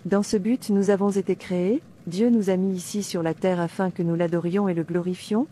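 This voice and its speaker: A woman's voice: fairly low pitch, fairly even intonation, fairly quick, warm tone, slight background noise, natural voice. A woman playing a devout, defiant princess in a dubbed cartoon, declaiming earnestly like a preacher. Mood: firm, devout. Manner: declamatory, earnest, citing scripture. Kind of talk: sermon-like dubbed cartoon dialogue.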